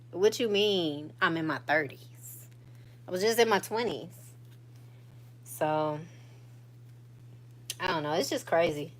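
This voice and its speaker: A woman in her thirties chatting casually to her viewers, relaxed and talkative, often laughing and stretching out her phrases. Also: on the low side. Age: 30s. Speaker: vlogger. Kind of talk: casual catch-up chat to viewers.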